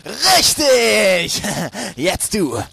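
Shouty high-pitched, gravelly superhero captain. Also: male voice, huge pitch swings, exaggerated voice. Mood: excited, gleeful. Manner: shouty, drawn-out, whiny outbursts. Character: excitable, easily offended, boastful superhero captain, childish and melodramatic. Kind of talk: hobby parody audio drama acting. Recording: faint background noise.

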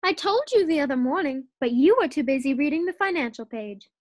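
A woman's voice: high-pitched, animated, brisk, no echo, clean studio sound. A woman playing a sassy, playful, easily exasperated wife in an old-time radio sitcom style. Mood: matter-of-fact.

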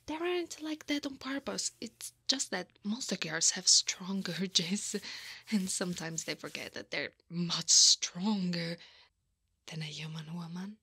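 Soft whispering centaur woman, acted by a woman, teasing. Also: lowish voice, takes it slowly, dry recording, exaggerated voice. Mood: coy, soothing. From Italy. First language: Italian. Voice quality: breathy, often whispering. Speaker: ASMR roleplay performer. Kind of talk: ASMR roleplay.

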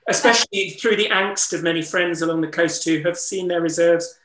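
A man's voice: on the high side, steady tone, a little fast, a bit bright. A man hosting a webinar conversation, earnest and reflective, his voice strained from disuse. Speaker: interviewer and wildlife trust ambassador. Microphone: video call. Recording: roomy echo.

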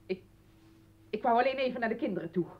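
A woman's voice: on the high side, lively intonation, quick pace. An actress playing an anguished, accusing mother confronting her former husband in a radio play, bitter and pleading. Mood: frightened, pleading. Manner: bitter, pleading, breaking into whispers. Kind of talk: Dutch radio play acting. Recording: dull old radio recording.

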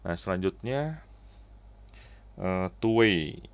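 Deep, slow-talking man. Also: animated, dry recording. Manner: halting, step-by-step explaining with frequent "ya" tags. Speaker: app reviewer and tutorial presenter. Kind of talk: casual screen-recorded app walkthrough. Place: indoors at a computer, keyboard clicks. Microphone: headset. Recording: slightly dull, lo-fi audio.

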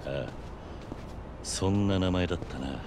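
An actor playing a stoic, gruff middle-aged man, terse and restrained, in a video game cutscene. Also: sits low, measured pace, background noise. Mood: guarded.

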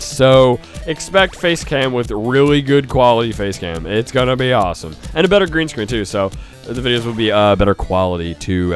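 A young man with a cold and a stuffy voice, giving excited let's-play commentary on a game and shouting at it now and then. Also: mid-range voice. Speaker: gaming YouTuber.

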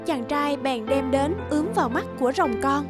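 A woman narrating a fairy tale for an animated story in a gentle, measured way. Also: high voice. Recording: music bed behind voice.

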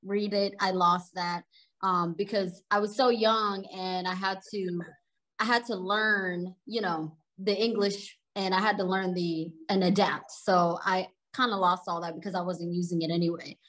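A woman's voice: on the low side, steady tone, measured pace, fairly bright tone, rough recording. A woman in her thirties from Sudan, a native Arabic speaker, chatting as an interview guest and laughing easily as she tells her story. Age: 30s. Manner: chatty, laughs while telling stories.

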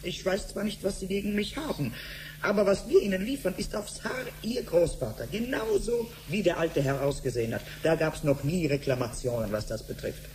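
A woman's voice: fairly low pitch, expressive, brisk, a little mellow, slight background noise, lightly acted. An actress playing a brash, hot-tempered woman who assists a scientist, blunt and mocking, in a 1970s radio play. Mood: defiant.

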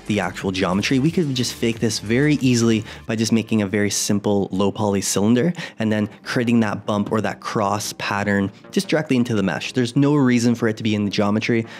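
A young adult man narrating a software tutorial in a relaxed, conversational way. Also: on the low side. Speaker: 3D artist tutorial host.

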